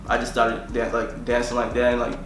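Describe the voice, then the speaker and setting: A man's voice: mid-range voice, completely flat delivery, a little fast, a bit bright, echoey room, a little hiss or hum. A young man from Tanzania, a musician talking casually in an interview and filling nearly every phrase with "like".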